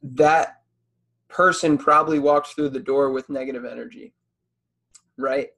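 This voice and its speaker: Male podcast host, early 20s, American, mid-pitched, conversational and slangy, very informal. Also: steady tone, a little slow, creaky, a bit bright. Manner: slangy, bro-like informal phrasing. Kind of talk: casual conversational interviewing.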